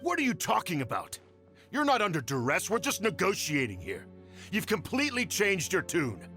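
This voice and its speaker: A fawning, flustered clan official. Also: male voice, sits high, wildly swooping pitch, moderate pace, pushed and bright, soft background music, heavily acted. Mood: evasive, fawning. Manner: nervous, stammering, ingratiating. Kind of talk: video game voice acting.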